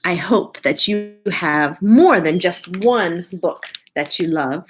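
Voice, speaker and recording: A woman reading a picture book aloud to children in an expressive, deliberate way, as a children's librarian. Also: fairly low pitch, soft background music. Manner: animated, drawn-out, deliberate delivery.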